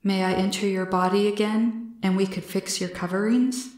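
A woman's voice: medium pitch, steady tone, moderate pace, darkish tone, echoey room, close to an everyday voice. Woman voicing a curious, formal male alien cloud. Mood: gently inquiring. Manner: formal, literal, inquisitive phrasing. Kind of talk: storybook character voice.

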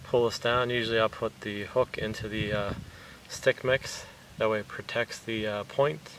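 A man's voice: fairly low pitch, fairly even intonation, normal speed, a bit bright. A man in his thirties casually demonstrating a fishing bait recipe step by step outdoors by a lake, talking plainly with fillers. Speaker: fishing vlogger. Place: outdoors on a lakeshore. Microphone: camera mic from a few meters away. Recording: distant outdoor camera audio with wind noise.

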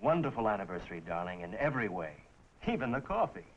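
An actor playing a glum, grumbling young husband with a dry manner in a 1960s TV commercial, on a vintage soundtrack. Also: male voice, on the low side, big pitch swings, quick pace. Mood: dry approval. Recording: dull, faintly noisy vintage film soundtrack.

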